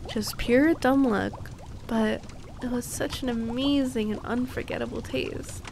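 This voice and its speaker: A woman playing a cute, kind and teasing young alchemist girl in an ASMR roleplay, speaking softly and soothingly close to the mic. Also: a little high, fairly even intonation, vocal fry, background noise. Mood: playful, cozy. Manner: soft, soothing, unhurried, playfully reassuring.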